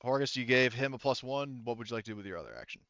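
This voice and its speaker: Clear-voiced man running a game, slightly muffled. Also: mid-range voice, expressive, fairly bright tone, no echo. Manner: halting, thinking aloud while checking rules. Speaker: game master. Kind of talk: narrating and refereeing an online tabletop roleplaying game. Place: online voice call. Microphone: gaming headset.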